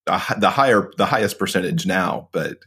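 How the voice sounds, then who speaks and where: A man with a low, husky voice, chatting as a podcast guest. He sounds relaxed and keen, with easy, informal phrasing. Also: fairly quick. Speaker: podcast co-host, interview guest. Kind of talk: casual conversation.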